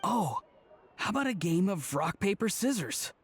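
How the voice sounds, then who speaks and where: Upbeat, higher-voiced young guy. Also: very animated, moderate pace, a little hiss or hum. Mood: cheerful. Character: upbeat, casual young man, playful friend. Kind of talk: video game character acting.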